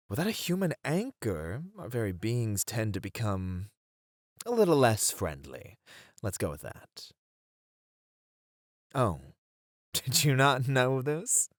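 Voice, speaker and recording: Flirty incubus demon, played by a man: smug charmer, unhurried, lively swings in pitch, close dry sound. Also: no echo. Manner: teasing, drawn-out, playful delivery. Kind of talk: flirty ASMR roleplay acting.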